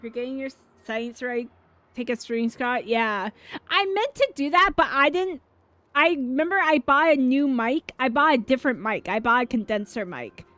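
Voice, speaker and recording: A woman streaming a game, chatting casually with viewers, excitable and swearing freely. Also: high-pitched, normal speed, no echo. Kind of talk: casual livestream chat over gameplay. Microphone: dynamic mic. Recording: dull mic with faint game music.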